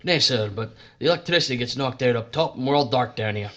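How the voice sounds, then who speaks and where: An actor playing a cheeky, steady working-class tube maintenance man in a period audio drama, with a British accent and a folksy, deferential, wry manner. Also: medium pitch, fast talker, dull audio, lightly acted. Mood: obliging.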